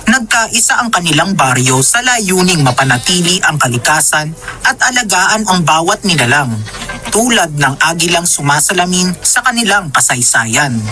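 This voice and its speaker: A man's voice: high voice, very animated, normal speed. A man narrating a radio drama as a solemn, hopeful storyteller of a village tale. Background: music.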